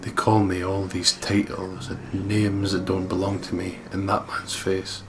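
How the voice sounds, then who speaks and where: A man's voice: low voice, completely flat delivery, unhurried, background noise. A man reading first-person horror narration aloud dramatically as a let's play host, with game music behind him. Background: prominent game music.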